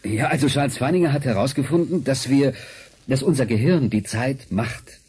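A very husky, patient scientist. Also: male voice, mid-range voice, normal speed, a little mellow. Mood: patient, kindly. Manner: hesitant, patient, explanatory. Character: patient, kindly male scientist explaining to a girl. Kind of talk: German radio drama acting.

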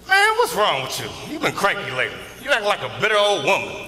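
A man's voice: sits high, wildly swooping pitch, fast, bright, forceful tone, exaggerated voice. An actor playing a joking businessman who teases his friend, recorded live on a theater stage. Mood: teasing. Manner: joking, teasing. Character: joking businessman cousin, teasing. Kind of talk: live stage play acting. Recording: echoey theater hall from far off.

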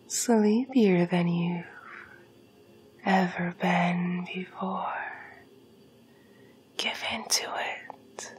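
A woman as a slow whispering vampire. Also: mid-range voice, slight background noise. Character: seductive female vampire feeding on the listener. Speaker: ASMR roleplay performer. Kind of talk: ASMR roleplay. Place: dark room with backdrop.

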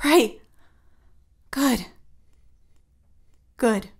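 An actress playing a disoriented, increasingly panicked woman in an audio drama, halting and repeating herself. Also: a little high, breathy edge, heavily acted. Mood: uneasy, confused.

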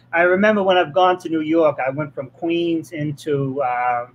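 A man's voice: fairly high pitch, a little slow, muffled recording, a little hiss or hum. A middle-aged man with a Boston accent hosting a live-stream interview show, chatty and jokey, speaking over a webcam from a home office. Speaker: host. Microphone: webcam on video call.

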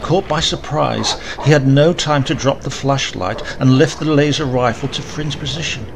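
A man giving a dramatic reading of a horror story, often dropping to a hushed whisper. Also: medium pitch, normal speed, gravelly. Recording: loud steady hiss behind the voice.

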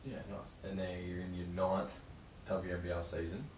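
A man co-hosting a podcast, firing off quick, upbeat interview questions in casual chat. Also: low-pitched, little pitch movement. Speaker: podcast co-host. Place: small podcast lounge room, indoors. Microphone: studio desk mic with headphones. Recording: roomy, distant-miked, noisy room.

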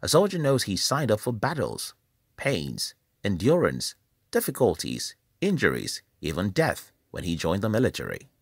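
A man from Nigeria, reading a scripted motivational voice-over in a measured, emphatic, preacherly way. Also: lowish voice, huge pitch swings, creaky, breathy edge, no echo, clean studio sound. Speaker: channel host.

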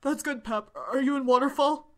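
A woman's voice: high voice, normal speed, lightly acted. A woman voicing a protective, worried eighteen-year-old older brother in an emotional fan audio drama. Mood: urgent, reassuring. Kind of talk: angst-heavy fan audio drama acting. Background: background music.

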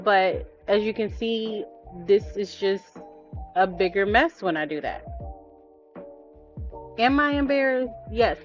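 Candid mom chatting over soft music. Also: female voice, lowish voice, dull audio. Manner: candid, chatty, many ums. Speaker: vlogger, mother. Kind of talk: casual voice-over chat.

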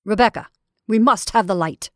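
A woman's voice: medium pitch, normal speed, dry recording, clean studio sound, lightly acted. Woman acting a stern, fierce older-sister type. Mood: commanding. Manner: sharp, insistent.

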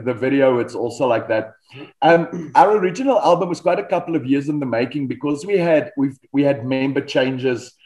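A man's voice: medium pitch, poor audio. A man in his thirties with a South African accent, an Afrikaans speaker, chatting relaxedly about his band over a video call. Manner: relaxed storytelling, frequent ums and restarts. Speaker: metal band vocalist, guest. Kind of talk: casual video-call interview. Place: home studio with band posters. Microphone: desk microphone on video call.

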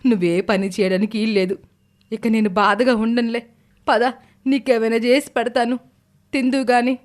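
A woman's voice: on the high side, steady tone, moderate pace, natural voice. Woman playing an earnest older mother-in-law. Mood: remorseful, caring. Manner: earnest, reassuring.

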